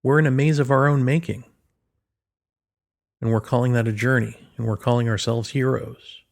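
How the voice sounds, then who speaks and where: A man's voice: mid-range voice, fairly even intonation, normal speed, vocal fry. Man delivering a sardonic philosophical monologue. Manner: rhetorical questions and emphatic repetition. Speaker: commentator delivering a solo monologue.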